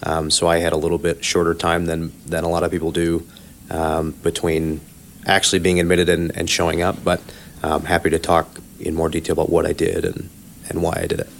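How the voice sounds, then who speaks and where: A man from Ann Arbor with a very deep voice introduces himself, hesitating often. His pitch barely moves. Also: monotone, a little fast, gravelly, a little hiss or hum. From Ann Arbor, Michigan. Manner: hesitant, many fillers. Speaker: MBA student guest.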